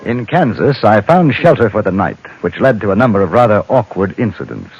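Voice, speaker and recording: An actor playing a courteous English newspaperman in a radio Western, speaking in measured, formal, literate phrases. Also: lowish voice, sing-song, a little mellow, breathy edge, heavily acted. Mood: composed, wry. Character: courteous English newspaperman and gunman roaming the West. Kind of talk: 1950s radio drama acting and first-person narration. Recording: muffled old radio recording, music behind.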